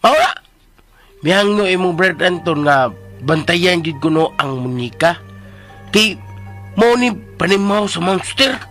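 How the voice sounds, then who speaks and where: A man acting in a radio drama as a chatty, comic household helper who talks in an animated, colloquial way. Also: high voice, measured pace, pauses often, music in the background. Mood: gossipy.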